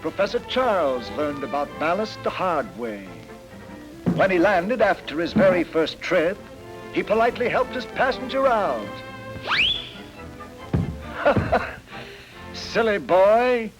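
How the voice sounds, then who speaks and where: A man's voice: high-pitched, very animated, slow, long pauses, heavily acted, poor audio. An actor playing a wry, playfully pompous male lecturer giving comic commentary in a 1940s training film. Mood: wry, amused. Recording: 1940s film soundtrack over music.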